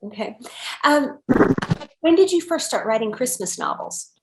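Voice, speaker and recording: A woman's voice: on the high side, huge pitch swings, unhurried, very noisy. A woman hosting a podcast interview, recorded in an echoey room. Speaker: podcast host.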